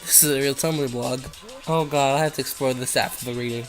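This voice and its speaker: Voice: medium pitch, steady tone, a little fast, faint music behind. A host casually reading fan fiction aloud and rambling off into irreverent, giggly side comments. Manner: giggly, irreverent, easily sidetracked.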